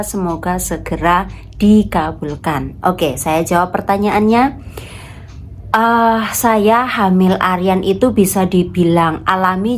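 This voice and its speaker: A woman in her thirties from Indonesia, nasally blocked up with a cold, speaks in an unhurried, lowish voice. She chats to her viewers as friends, with faint background noise around her. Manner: chatty, addresses viewers as friends. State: stuffy nose from a cold. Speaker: vlogger. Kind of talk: casual vlog chat.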